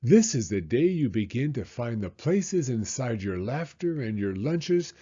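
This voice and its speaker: A man reading a children's picture book aloud as a story time host, with expressive, unhurried narration. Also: medium pitch, husky, muffled recording.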